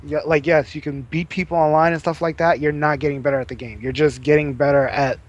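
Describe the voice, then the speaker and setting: Male podcast guest, slightly high voice, steady explanatory delivery, lots of ums. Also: quick pace, lo-fi sound. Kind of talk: casual podcast advice.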